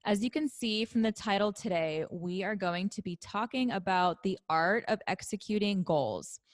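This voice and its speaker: An upbeat woman hosts a podcast interview in a mid-range voice. She introduces her guest warmly and answers him with soft, steady murmurs. The sound is dry and close, with no room echo. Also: mid-range voice, normal speed. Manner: upbeat, with frequent soft backchannels. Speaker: podcast host.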